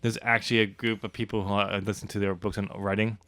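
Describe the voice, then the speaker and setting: A man's voice: lowish voice, fast, no echo. A man hosting a podcast, chatting casually and laughing often about his own novel. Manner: laughs often, jokey and animated. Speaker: podcast host and author. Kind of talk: casual chat.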